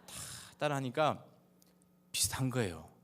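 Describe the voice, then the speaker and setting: A man from Daegu with a Gyeongsang Korean accent, preaching a sermon as a pastor in a measured, halting way with frequent pauses. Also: medium pitch, animated, fairly bright tone. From Daegu, South Korea. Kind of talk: sermon with personal anecdotes. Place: large reverberant church hall. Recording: echoey hall with long reverb.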